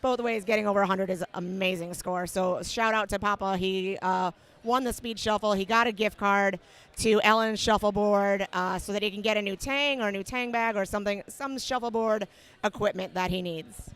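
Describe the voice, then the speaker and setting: Woman, slightly hoarse, relaxed, explanatory, livestream commentator, mid pitch. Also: normal speed. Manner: relaxed, explanatory, many fillers. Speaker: match commentator. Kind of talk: casual sports commentary.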